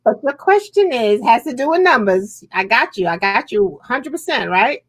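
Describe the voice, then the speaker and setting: A woman's voice: on the high side, big pitch swings, moderate pace. A woman from Alabama with an Alabama accent, hosting a casual chat and teasing her guest with lots of laughter. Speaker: host.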